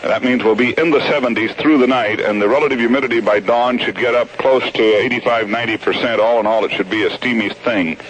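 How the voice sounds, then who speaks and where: A man's voice: medium pitch, quick pace, hardly any pauses, fairly bright tone, poor audio. A man giving a conversational weather forecast as a radio forecaster on a 1970s AM broadcast.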